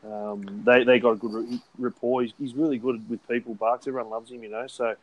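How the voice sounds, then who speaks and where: A man's voice: medium pitch, little pitch movement, a little fast, poor audio. An Australian man hosting a casual chat, blokey and talkative, swearing offhandedly and often laughing while he talks.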